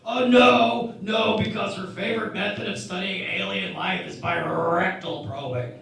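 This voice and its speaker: A man's voice: high-pitched, steady tone, measured pace, gravelly, fairly bright tone, heavily acted. A man playing a rambling, scatterbrained engineering lieutenant who hunts for words in a sci-fi parody radio play. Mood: amused, scatterbrained. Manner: hesitant, scatterbrained, word-searching. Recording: very echoey, distant mic.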